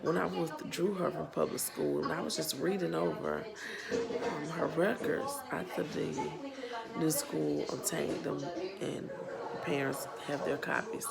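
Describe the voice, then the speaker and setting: Southern woman, 30s, deep gravelly voice, slow halting speech, loud music behind. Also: big pitch swings. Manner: slow, earnest, halting with pauses and restarts. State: losing her voice. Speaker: nonprofit founder and parent. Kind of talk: personal vlog testimony.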